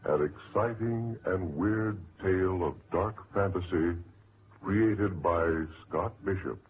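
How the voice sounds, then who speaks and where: A very deep-voiced American man working as a 1940s radio announcer. He reads formally and with measured delivery, over a muffled old broadcast recording with some noise.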